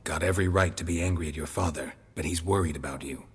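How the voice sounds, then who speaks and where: An actor playing a gruff, terse male monster hunter with a very deep, raspy voice and a dry, low-key manner. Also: quick pace. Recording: long hall reverb.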